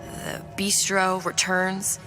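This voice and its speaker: A woman's voice: mid-range voice, monotone, slow pace, over music. An actress playing a cool, determined, mysterious young woman in a noir video game. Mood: urgent, resolute.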